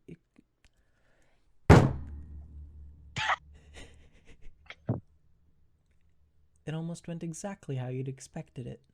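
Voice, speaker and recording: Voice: mid-range voice, darkish tone. A narrator reading second-person fan fiction as scripted storytelling.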